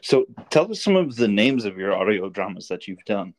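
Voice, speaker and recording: A man's voice: lowish voice, very animated, normal speed, no echo. A man hosting a podcast, chatting casually in an interview. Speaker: podcast host and comic maker.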